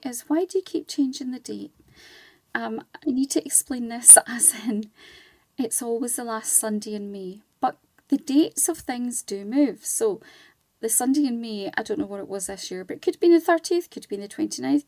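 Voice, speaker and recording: Higher-pitched, mellow woman. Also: no echo. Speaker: guest, charity volunteer and community ambassador. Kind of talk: conversational radio interview.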